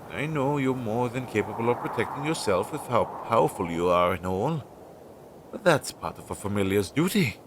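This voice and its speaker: A young man playing an anxious, earnest and loyal crow familiar in a roleplay audio drama, hesitant and chatty as he thinks aloud, on a low-quality amateur recording. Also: noisy background, lightly acted. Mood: protective, flustered. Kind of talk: roleplay audio drama, intimate one-sided dialogue.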